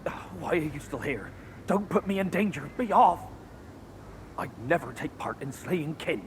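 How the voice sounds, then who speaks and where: An actor playing a wary rat exile in a video game, earnest and guarded, weary but resolute. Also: male voice, a little high, lively intonation, moderate pace, a little hiss or hum, lightly acted. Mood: wary, annoyed. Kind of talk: video game character acting.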